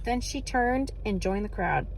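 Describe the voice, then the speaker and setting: A woman's voice: mid-range voice, lively intonation, a little slow, vocal fry, rough recording. Woman narrating over noticeable background noise. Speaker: read-aloud reader. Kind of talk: expressive audiobook-style narration of a children's novel.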